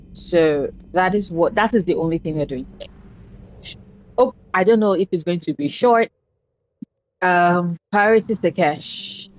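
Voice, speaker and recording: A woman casually commentating her own game playthrough, chatty and self-deprecating, speaking low and soft because talking hurts right now. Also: low-quality recording. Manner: chatty, self-deprecating, swears at setbacks in play. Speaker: gaming channel host. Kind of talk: casual let's-play commentary.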